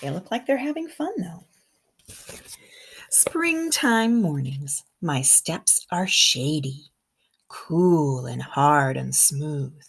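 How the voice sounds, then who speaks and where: A woman's voice: sits low, slow pace, creaky, husky. A kindergarten teacher, a woman, reading a picture book aloud expressively to young children and adding chatty comments as she goes. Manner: expressive, engaging young listeners with questions and comments.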